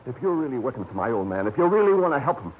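A man's voice: medium pitch, sing-song, very fast, slight background noise, close to an everyday voice. An actor playing a hot-tempered young man holding a gun, tense and threatening, in 1940s radio drama style. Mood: desperate. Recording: muffled vintage radio broadcast.

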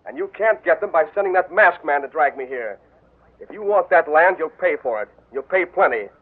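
A blustering crooked gambler, loud and boastful. His voice sits high and keeps pushing, heard over a thin, tinny old radio broadcast. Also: lively intonation, fairly quick. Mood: defiant. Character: blustering crooked male gambler, middle-aged. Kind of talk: 1940s radio acting.

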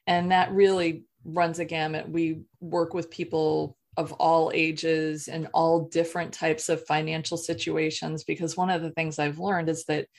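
A woman explains her coaching work calmly and in measured steps. Her voice sits low and carries a gravelly fry. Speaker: life and business coach, guest. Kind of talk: interview answers.

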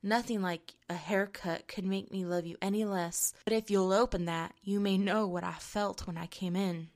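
A woman voicing a quiet young husband who speaks slowly and a little lower. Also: steady tone, lightly acted. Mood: earnest. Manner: slow, low and measured.

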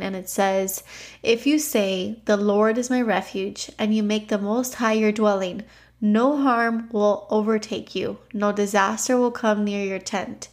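A woman with a stuffy nose hosting a devotional podcast, reading scripture and telling a story in a conversational way. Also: medium pitch.

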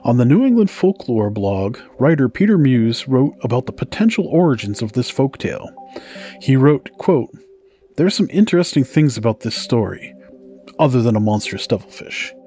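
A man's voice: medium pitch, very animated, moderate pace, dark tone. A man hosting a podcast, narrating folktales from a script in a storytelling style. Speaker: podcast host. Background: music.

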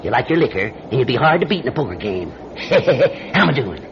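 A man's voice: mid-range voice, big pitch swings, brisk. An American actor, a man, playing a scrawny, chatty sixty-year-old pickpocket with a folksy, wheedling manner in a radio drama. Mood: sly, ingratiating. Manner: folksy, wheedling, hesitant chuckling talk. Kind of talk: 1940s-style radio drama acting. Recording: muffled, noisy radio drama recording.

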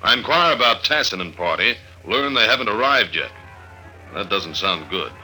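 A man's voice: fairly low pitch, animated, normal speed, pushed and bright, slightly rough, full character voice, rough recording. A man playing a hard-boiled, no-nonsense investigator who narrates his own adventure in clipped sentences, in 1950s radio drama style. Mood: terse narration. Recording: old radio recording with music.

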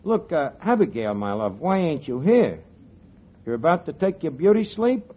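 An actor playing a wisecracking tavern manager in 1940s radio comedy, with fast street slang. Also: male voice, fairly high pitch, sing-song, breathy edge. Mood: wheedling. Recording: lo-fi old radio broadcast with hiss and studio audience.

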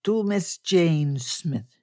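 A woman voicing a courtly old bearded foreign gentleman in an audiobook, with stilted, formal phrasing. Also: low-pitched, animated, slow, no room sound, clean studio sound, heavily acted. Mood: courteous, formal.

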